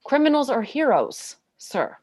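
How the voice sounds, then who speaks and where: A woman plays a military defense lawyer in a courtroom stage drama. Her voice is fairly high and very animated, moving widely in pitch. She is dogged and needling, pressing each question on the witness. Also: a little slow, full character voice. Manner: pointed, insistent questioning. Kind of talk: dramatic script reading.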